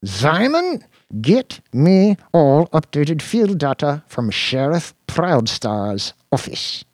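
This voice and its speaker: A shrill, whiny scrawny detective. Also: male voice, big pitch swings, takes it slowly, no room sound, studio quality. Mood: shrill, frantic. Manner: whiny, squealing, sing-song chanting.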